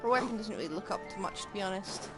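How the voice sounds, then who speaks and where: A woman's voice: mid-range voice, lively intonation, racing. A woman doing casual, rambling live commentary as she plays a video game. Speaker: game streamer. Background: game music.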